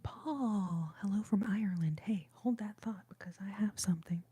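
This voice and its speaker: A woman hosting a livestream, whispering to her viewers and reading their comments in a soft, breathy, affectionate way. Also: mid-range voice, moderate pace, music in the background. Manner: gushing, affectionate, calls viewers babes and y'all. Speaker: livestream host reading chat. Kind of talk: whispered ASMR chat with viewers.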